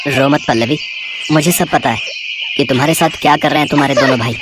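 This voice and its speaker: A man's voice: medium pitch, fast, very noisy, natural voice. A man playing an earnest, confident young suitor in a story, speaking firmly. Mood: tender, pleading. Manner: earnest, firm.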